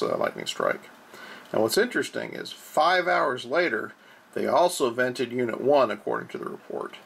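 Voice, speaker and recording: A man giving informal explanatory commentary as a blog host, with an American accent and a halting delivery full of drawn-out uhs. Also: medium pitch, creaky. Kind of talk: informal explanatory commentary over on-screen reports.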